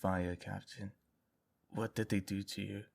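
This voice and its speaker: Stubborn young soldier type, troubled, low creaky voice, earnest, audio drama. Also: male voice, flat delivery, a little slow, darkish tone. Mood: insistent. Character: stubborn but protective young male guardsman, troubled. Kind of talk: dramatic audio fiction acting.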